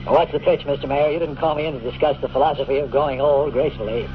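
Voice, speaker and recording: An actor playing a cynical, wisecracking bachelor private detective in a radio drama, with a snappy, sardonic hardboiled delivery. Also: male voice, a little high, steady tone, quick pace, slight background noise, lightly acted. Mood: probing, dry. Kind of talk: 1950s radio detective acting and first-person narration. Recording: muffled old radio broadcast with music.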